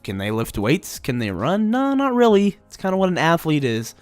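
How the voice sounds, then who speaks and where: A man's voice: on the high side, wildly swooping pitch, moderate pace, dry recording, soft background music. Nasal young man ranting casually. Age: young adult. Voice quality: nasal from allergies. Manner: blunt, opinionated, many "you know"s. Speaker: gaming vlogger. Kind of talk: casual opinion rant.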